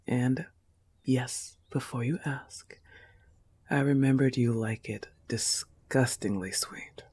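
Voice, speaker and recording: A man voicing a possessive, tender goth wolf-girl girlfriend in an intimate audio roleplay, soft-spoken and often slipping toward a whisper. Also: medium pitch, slightly rough. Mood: tender, teasing.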